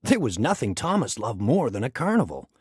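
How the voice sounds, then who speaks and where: A man reading a children's story aloud in an expressive, dramatic storytelling manner. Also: mid-range voice, brisk, slightly rough, no room sound, clean studio sound. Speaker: storybook reader.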